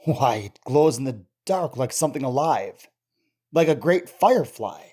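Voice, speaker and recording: A man playing a gentle, devoted husband and scientist in an old-time radio drama, speaking soberly. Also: mid-range voice, animated, normal speed, natural voice. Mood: quiet wonder.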